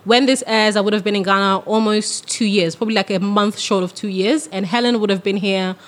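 A woman's voice: mid-range voice, a little fast. Frank, laughing podcast woman. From England. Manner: frank, laughing, animated storytelling. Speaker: podcast co-host. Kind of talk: casual chat.

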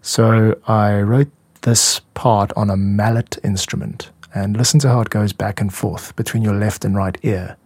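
A man's voice: fairly low pitch, fairly even intonation, measured pace, a little mellow, slight background noise. A man hosting a music podcast, explaining production work in a conversational, reflective and demonstrative way. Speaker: music podcast host and composer.

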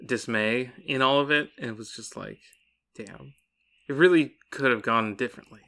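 A man's voice: mid-range voice, normal speed, bright, forceful tone. A man co-hosting a podcast, discussing a book casually with a wry, informal manner and some swearing. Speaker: podcast co-host.

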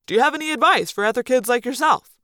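A woman's voice: on the high side, fast talker, bright, forceful tone, no room sound, studio quality. Woman as a persistent, probing news interviewer.

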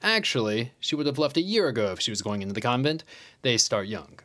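An easygoing, self-satisfied male teacher in an audio play. His speech is casual and very lively in intonation, with a smug edge. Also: mid-range voice, quick pace. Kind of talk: naturalistic audio drama acting.

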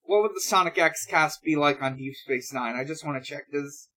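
Woman, mid-low speaking voice, podcast host, halting, casual chat, hesitant phrasing. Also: a bit bright. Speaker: podcast host reading listener questions.

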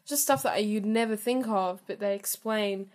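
A woman's voice: medium pitch, normal speed, a little mellow. A woman with a New Zealand accent chatting eagerly on a podcast. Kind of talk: casual podcast chat.

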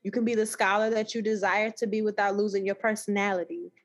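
A woman with a thick Texas accent, talking as a guest in a casual podcast conversation, animated and emphatic with frequent laughter. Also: medium pitch, quick pace. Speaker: guest, new scholar.

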